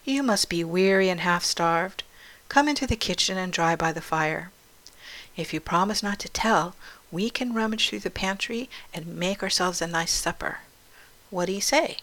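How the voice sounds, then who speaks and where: A woman voicing a kind, motherly storybook character who speaks in a gentle, cheerful, reassuring way. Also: on the low side, normal speed, low-quality recording. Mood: cheerful, kindly.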